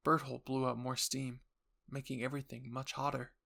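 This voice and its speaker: A man's voice: medium pitch, level tone, normal speed, creaky, sharp tone. A man narrating an audio roleplay story in the second person with an earnest, theatrical delivery.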